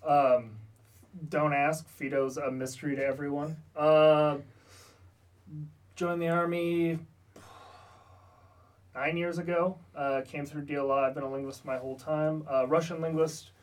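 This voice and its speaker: A man's voice: fairly high pitch, level tone. Joking man, a bit far from the mic. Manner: joking, self-mocking, quick to laugh. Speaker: guest, Army Russian linguist. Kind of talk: casual interview chat.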